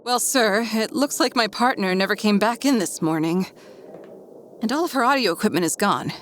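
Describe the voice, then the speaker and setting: An actress plays a dutiful woman scientist in an audio drama. She sounds serious and deferential with some unease, at a middling pitch, with faint noise behind her. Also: animated, moderate pace, fairly bright tone, lightly acted. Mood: uneasy, deferential.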